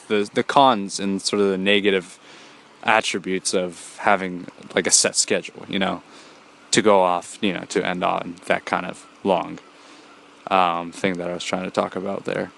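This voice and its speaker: A man's voice: deep voice, gravelly, a bit bright, a little hiss or hum. A young man hosting a solo podcast, talking in a rambling casual way with many fillers and restarts, a bit congested from a cold. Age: young adult. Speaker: podcast host.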